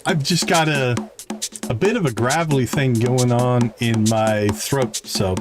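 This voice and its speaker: A man's voice: medium pitch, measured pace, over music. A man giving playful, chatty live commentary as he plays a video game, talking to characters and viewers. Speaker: livestream host playing a farming video game. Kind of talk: casual live gaming commentary.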